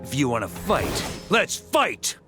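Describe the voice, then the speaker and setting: An actor playing a bold veteran knight commander who speaks in a hearty, rousing way. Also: male voice, mid-range voice, wildly swooping pitch, slow, over music. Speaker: grandmaster of the knights. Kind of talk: game cutscene voice acting.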